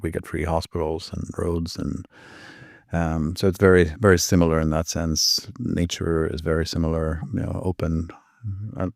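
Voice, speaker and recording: A man's voice: deep voice, creaky, a little mellow, no echo, studio quality. A middle-aged man from Sweden, speaking English as an as a podcast guest, hesitant with many fillers and restarts. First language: Swedish. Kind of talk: casual interview chat.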